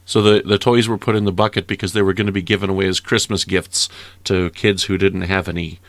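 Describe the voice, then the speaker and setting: A man in a casual interview-style discussion, explaining patiently and asking gentle questions. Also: deep voice, little pitch movement, fairly quick, dry recording. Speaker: guest commentator, interviewer.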